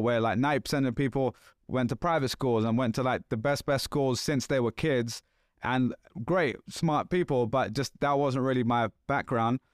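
Man, London accent, 30s, podcast host, chatty, smooth voice, dry close sound. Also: medium pitch, no room sound. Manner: chatty, animated, loose with slang. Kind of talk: casual podcast chat.

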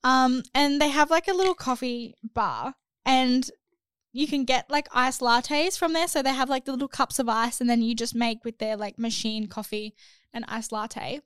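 Bubbly high-voiced young woman. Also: moderate pace, clean tone, dry recording, studio quality. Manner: chatty, many "like" fillers, enthusiastic. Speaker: podcast co-host. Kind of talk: casual chat.

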